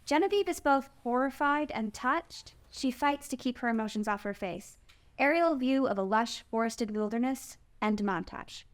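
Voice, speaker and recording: A woman's voice: fairly high pitch, moderate pace. A woman narrating the stage directions of a screenplay at a table read.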